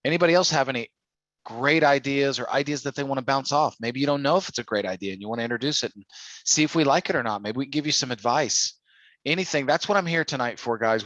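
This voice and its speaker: A man with a medium-pitched voice leads a sales training call. He talks informally and persuasively, often putting questions to the listeners. The sound is dry, with little room in it. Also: fast talker, fairly bright tone, dry recording, low-quality recording. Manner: persuasive, full of rhetorical questions. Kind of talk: informal sales coaching talk.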